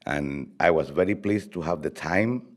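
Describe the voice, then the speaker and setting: Male artist, very low voice, Chilean Spanish accent, native Spanish speaker, reflective and halting delivery. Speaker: visual artist. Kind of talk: reflective talk about own work.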